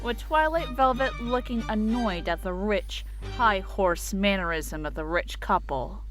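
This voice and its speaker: A woman's voice: mid-range voice, a little slow, faint music behind. A woman reading scripted storybook narration in a dramatic style.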